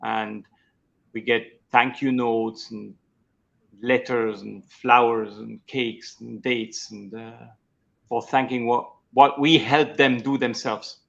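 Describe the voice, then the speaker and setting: A middle-aged man from Switzerland whose first language is Swiss German, telling his story as an interview guest in a halting way, with many restarts. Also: mid-range voice, steady tone, vocal fry, a bit bright, muffled recording. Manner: halting, with frequent restarts and fillers. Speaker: guest, coach. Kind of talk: conversational interview.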